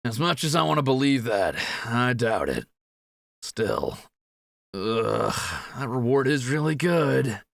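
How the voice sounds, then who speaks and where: A cocky young fantasy thief, acted by a man, swinging animatedly from bragging to jitters. His voice is bright and raised, with some creak at the edges, recorded close and dry. He jokes and exclaims readily, in audio roleplay style. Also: medium pitch, no echo, full character voice. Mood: wary, reluctant. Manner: joking, animated, quick to exclaim.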